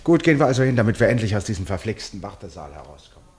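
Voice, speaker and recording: Low-voiced, breezily dismissive eccentric sleuth. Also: male voice, very animated, racing, a little mellow, slight background noise. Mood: grudging, wry. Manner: rhetorical, breezily dismissive, exasperated. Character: eccentric, unworried amateur detective Basil, teasing and stubborn. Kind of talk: German radio play acting.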